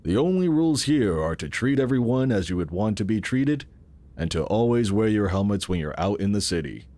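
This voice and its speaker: A benevolent founder in a futuristic audio drama. He is formal and reassuring, with a very animated, varied delivery. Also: lowish voice, a little fast. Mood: benevolent assurance.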